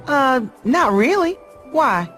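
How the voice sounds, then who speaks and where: A woman voicing an easygoing, gentle, motherly mammoth in a cartoon game. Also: high voice, sing-song, slow pace, soft background music, exaggerated voice. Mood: mild, curious.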